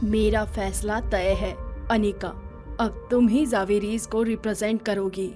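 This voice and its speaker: Actress as old matriarch, authoritative, firm, medium pitch, commanding delivery, audio drama. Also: female voice, fairly even intonation, a little slow, warm tone, faint music behind, close to an everyday voice. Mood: decisive. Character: authoritative elderly matriarch of a wealthy business family. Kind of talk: Hindi audio drama acting.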